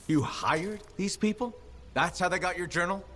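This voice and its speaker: An actor playing a confident, wisecracking freelancer hero in a video game, wry and assured. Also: male voice, sits high, moderate pace, fairly bright tone, a little hiss or hum. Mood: challenging. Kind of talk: video game protagonist dialogue.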